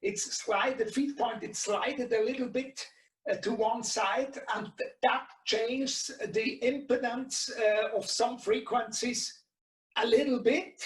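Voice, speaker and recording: A middle-aged man with a Swiss German accent and German as his native language, presenting an informal tutorial in halting English, searching for words. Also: very high-pitched, steady tone. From Switzerland. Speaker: webinar presenter and radio shop co-owner. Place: small indoor radio workshop. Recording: echoey room, distant mic.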